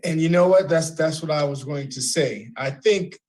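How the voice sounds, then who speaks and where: A man hosting a radio interview, halting and repeating words as he rephrases his questions. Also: a little high, darkish tone. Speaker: radio host.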